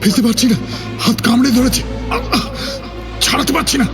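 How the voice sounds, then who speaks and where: An actor playing an uneasy newlywed husband in a horror audio drama. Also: male voice, extremely high pitch, brisk, music in the background. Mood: panicked. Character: newlywed husband, bank employee, uneasy in new house.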